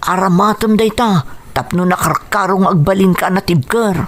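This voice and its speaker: A woman plays a loyal friend cheering on a boxer in a radio drama. The voice sits at a middle pitch. The delivery is emphatic, expressive and full of encouragement. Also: normal speed, close to an everyday voice. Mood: reassuring, urging. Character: supportive, encouraging friend of a boxer, eager and reassuring. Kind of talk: radio drama dialogue in Ilocano.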